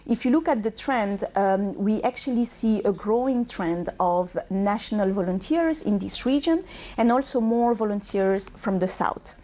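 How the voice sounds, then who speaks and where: Mellow-voiced woman explaining her work. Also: medium pitch, normal speed. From Bangladesh, raised in Belgium. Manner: fluent, explanatory, with frequent "uh" and "um". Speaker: UN regional manager guest. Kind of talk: TV interview answers.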